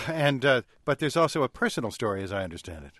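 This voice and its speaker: Radio host, male, dry close mic, steady middle pitch. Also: no room sound. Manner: measured and conversational. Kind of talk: public radio talk show hosting.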